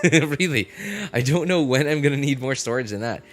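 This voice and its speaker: A man's voice: medium pitch, lively intonation, moderate pace. A man chatting casually as a live streamer, rambling through his reasoning and often checking in with short questions. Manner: conversational, argues points with frequent check-in questions. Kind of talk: casual rambling livestream chat. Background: music.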